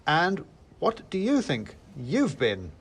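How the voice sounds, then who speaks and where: A cocky little hedge wizard telling his own tale, acted by a man. His storybook voice is cheeky and very animated. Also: a little high, slow pace, slightly rough, voice pushed up, heavily acted. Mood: defiant. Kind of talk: first-person storybook dialogue.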